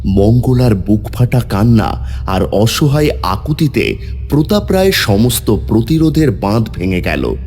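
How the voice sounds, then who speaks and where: Animated male horror story narrator. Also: medium pitch, a little slow, faint music behind.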